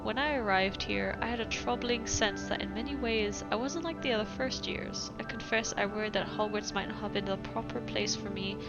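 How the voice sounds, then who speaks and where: A woman's voice: medium pitch, highs cut off. A young woman with a mixed European accent, chatting casually and reading letters aloud while streaming a game, laughing often. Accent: mixed European English. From British, raised elsewhere in Europe. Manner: chatty, giggly, many fillers. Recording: music playing behind.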